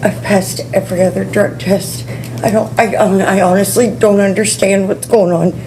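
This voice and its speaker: A woman's voice: mid-range voice, fairly even intonation, moderate pace. A woman in her forties speaking as a defendant in court, distressed, tearful and pleading. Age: 40s. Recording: echoey, noisy courtroom recording.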